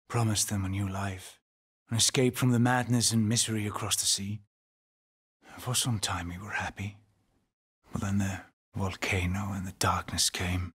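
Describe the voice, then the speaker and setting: A man playing a weary, haunted Norse settlement leader in a video game, speaking gravely and deliberately in a husky, breathy voice. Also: lowish voice, no echo, studio quality. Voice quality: husky, breathy, often near a whisper. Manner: slow, deliberate, grave, with pauses. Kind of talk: dramatic video game acting.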